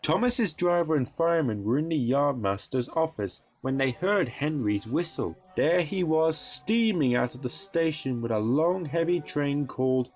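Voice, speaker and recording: A man's voice: fairly high pitch, expressive, normal speed, hardly any pauses, highs cut off, faint music behind, lightly acted. A man narrating a children's railway cartoon as a scripted storybook tale.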